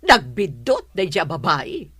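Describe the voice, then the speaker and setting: Sharp, swooping, scornful mother-in-law. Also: lowish voice, huge pitch swings, slow, husky, heavily acted. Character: scornful, suspicious middle-aged mother-in-law. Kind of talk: Ilocano radio drama acting.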